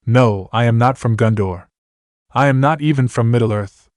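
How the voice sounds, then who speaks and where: A man reading aloud plays a reserved young wanderer, measured and formal, with an even, middle-pitched voice close to his own. The recording is dry and near. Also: no echo, natural voice. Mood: calm. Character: reserved young male wanderer, quiet and polite.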